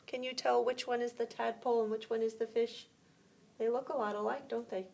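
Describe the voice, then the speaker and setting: A woman preschool teacher reading a story aloud to young children, pausing to explain words simply and ask questions. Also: a little high, level tone, gravelly, a little mellow, a little hiss or hum.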